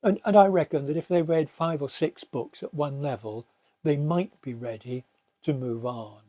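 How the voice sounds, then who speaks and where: A middle-aged man giving a slide presentation as a teacher, explaining in a measured, somewhat hesitant way. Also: fairly high pitch, warm tone. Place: recorded voice-over for slides. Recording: slightly dull, lo-fi recording.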